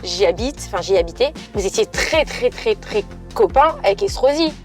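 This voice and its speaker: A woman's voice: mid-range voice, expressive, a little fast, over music. A woman from southeastern France hosting an interview in a chatty, enthusiastic and informal way. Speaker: interviewer, influencer host. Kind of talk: casual interview chat.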